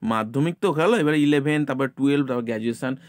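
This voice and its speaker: A man's voice: mid-range voice, fast talker, a little mellow, dry recording, studio quality. A man with a West Bengal Bengali accent presenting casually to students, insistently urging them on and repeating phrases for emphasis. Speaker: YouTube presenter and tutor.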